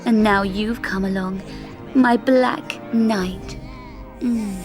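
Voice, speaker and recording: A woman's voice: medium pitch, fairly even intonation, slow pace, close to an everyday voice. An actress playing a vain, flirtatious and greedy noblewoman in a video game, with a sultry, theatrical drawl. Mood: seductive. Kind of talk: video game character acting. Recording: game music behind.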